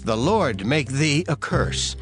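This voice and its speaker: A man's voice: medium pitch, big pitch swings, slow. An actor playing a solemn male priest who formally pronounces an oath in a dramatized Bible reading. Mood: grave. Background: music.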